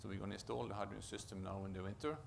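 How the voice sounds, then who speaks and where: A man with a Norwegian accent giving a conference presentation as a project manager, often halting to restart and repeat words. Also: lowish voice, fairly even intonation, gravelly, background noise. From Norway.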